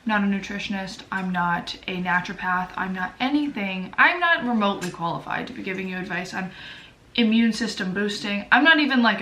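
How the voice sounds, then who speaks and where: A woman's voice: mid-range voice, bright, forceful tone. A young woman with a stuffy, sniffly voice chatting casually to camera as a vlogger, full of fillers and self-deprecating asides. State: congested, sniffly. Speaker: lifestyle vlogger. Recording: echoey room, voice a bit far from mic.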